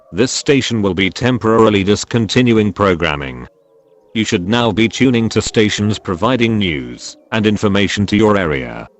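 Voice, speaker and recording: A synthetic male voice delivers a formal emergency broadcast script. It sits fairly low and swings widely in pitch as it announces.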